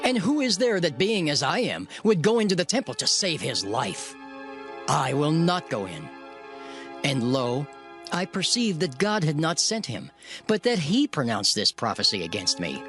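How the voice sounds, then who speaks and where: A man narrating scripture in the first person in a dramatized reading, solemn and measured. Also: on the high side, very animated, fairly bright tone. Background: music.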